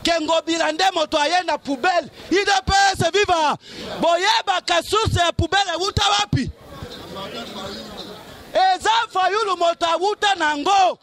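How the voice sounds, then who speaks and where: A man's voice: extremely high pitch, unhurried, some chatter behind. A middle-aged man with a Congolese accent giving an impassioned, emphatic political speech in the street, speaking into a handheld microphone. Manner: declamatory, emphatic, often shouting. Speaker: political activist, street orator. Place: outdoors on a street among onlookers.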